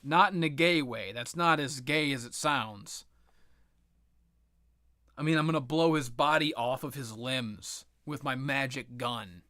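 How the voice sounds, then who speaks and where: Bright-voiced man, sarcastic game ranter. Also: mid-range voice, unhurried, no room sound, clean studio sound. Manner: sarcastic, profane ranting. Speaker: video game reviewer and host. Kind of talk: casual rambling opinionated game commentary.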